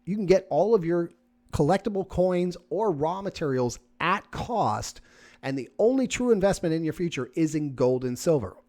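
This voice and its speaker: A man reading a sponsor ad as the podcast host, with a plain promotional pitch. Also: warm tone. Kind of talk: ad read.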